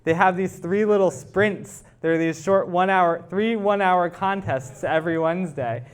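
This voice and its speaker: Higher-pitched man giving an informal technical talk. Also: a little slow, clean tone. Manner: informal, explanatory, engages listeners with questions. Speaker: presenter, researcher. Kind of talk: technical talk to an audience with questions. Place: live talk to a club audience.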